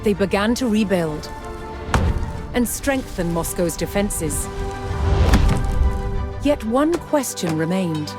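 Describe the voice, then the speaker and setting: A woman reads scripted historical narration for a game in a measured, dramatic storytelling manner, with deliberate pauses. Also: medium pitch, animated. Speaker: documentary-style game narrator. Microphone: studio voice-over microphone. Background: music.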